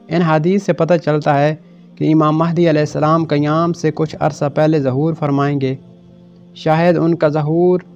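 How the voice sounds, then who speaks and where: A man reading a scripted religious narration in a formal, measured way with solemn pauses. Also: on the high side, darkish tone. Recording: dull lo-fi voice-over with music behind. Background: background music.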